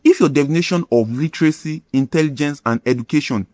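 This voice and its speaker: A man with a Nigerian accent, a Yoruba speaker, delivering a scripted opinion monologue in a measured, lecturing way. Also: on the high side, darkish tone, no echo. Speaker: commentary video host.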